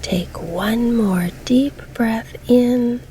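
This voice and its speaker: Slow, soft, mellow-voiced woman guiding meditation. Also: a little high, a little hiss or hum. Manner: soft, breathy, soothing delivery with long pauses. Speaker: guided meditation host. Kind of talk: scripted guided meditation. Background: calm background music.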